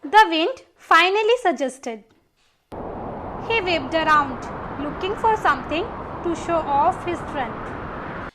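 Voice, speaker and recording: A woman reading a scripted children's story as a storyteller and teacher, slowly and deliberately. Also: extremely high pitch, expressive, background noise.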